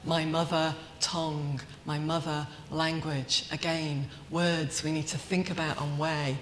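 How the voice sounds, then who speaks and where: A woman delivers a conference plenary in a reverberant hall with a faint rumble behind her. She sounds measured and emphatic, using reflective, rhetorical phrasing and repeated lists. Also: little pitch movement. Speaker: academic plenary speaker.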